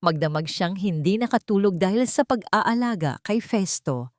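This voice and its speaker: A woman's voice: medium pitch, lively intonation, moderate pace, darkish tone, no room sound, studio quality. A woman narrator reading a dramatic audiobook story in a scripted storytelling style.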